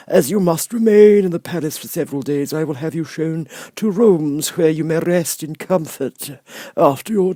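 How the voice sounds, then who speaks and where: A man voicing a polite, stately palace soldier in an audiobook, with formal, drawn-out courtesy. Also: on the high side, warm tone, no room sound, studio quality, pitched above the performer's own voice. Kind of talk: audiobook character voice.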